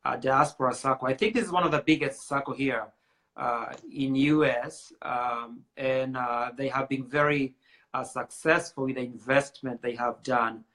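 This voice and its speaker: Man hosting, bright voice. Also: mid-range voice, fairly even intonation, moderate pace. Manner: many filler uhs, greets viewers by name. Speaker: show host. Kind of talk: casual live-stream chat.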